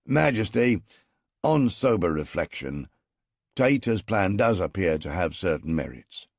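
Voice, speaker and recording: A man voicing a coarse, burly male army general in a storybook, talking in a booming, jovial way. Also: sing-song, measured pace, creaky, dry recording, studio quality, exaggerated voice. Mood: mollified.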